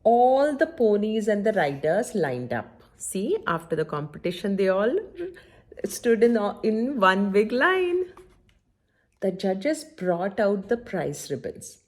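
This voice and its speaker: A woman reads a children's picture book at a slow pace with lively, varied pitch. She mixes the reading with simple asides to her young listeners. She often checks that they are following along. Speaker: children's story-time reader and host.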